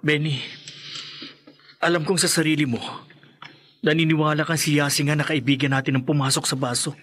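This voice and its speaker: A man's voice: on the high side, flat delivery, a little fast, a bit bright. An actor playing a worried young man who presses his friend in an earnest, accusing way. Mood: tense. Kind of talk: radio drama acting.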